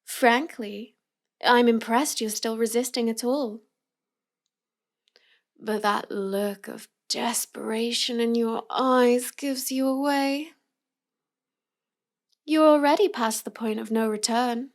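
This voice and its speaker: Slow, high-voiced cute villainess acted by a woman. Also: fairly even intonation. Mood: teasing, mocking. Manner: slow, teasing delivery with drawn-out words and giggles. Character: cute, teasing, mocking young villainess with mind-control powers. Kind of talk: intimate roleplay audio acting addressed to the listener.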